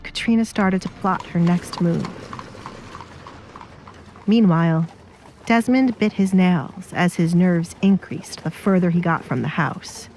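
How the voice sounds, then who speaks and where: A woman's voice: lowish voice, slow, a little mellow, soft background music, poor audio. A woman narrating a scripted audio drama as its storyteller.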